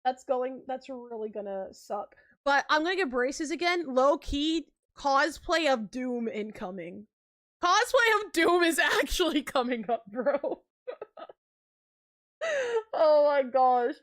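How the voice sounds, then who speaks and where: A woman's voice: high-pitched, lively intonation, slow, a bit bright. A young woman streaming live, chatting casually and rambling with lots of filler words and frequent laughs.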